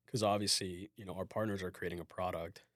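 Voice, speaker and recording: A man hosting a casual work podcast as a design manager, explaining things in a relaxed way with frequent fillers. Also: low voice, fast talker, no echo, clean studio sound.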